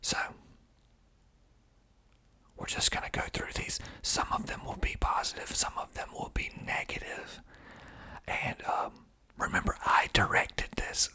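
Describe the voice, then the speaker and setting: An American man from Georgia speaking in a breathy ASMR whisper, explaining things in a hesitant, unhurried way close to the microphone. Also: sharp tone. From Georgia, United States. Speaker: host, filmmaker.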